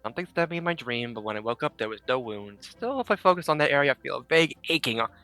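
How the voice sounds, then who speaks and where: A game streamer commenting live and reading dialogue aloud in a halting, offhand way. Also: medium pitch, very animated, bright, forceful tone, soft background music.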